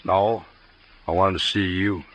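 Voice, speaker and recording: An actor playing a stubborn, matter-of-fact American frontier rancher in a folksy rural drawl, in 1950s radio western style. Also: male voice, low-pitched, little pitch movement, unhurried, a bit bright. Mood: grave, awkward. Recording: noisy old 1950s radio recording.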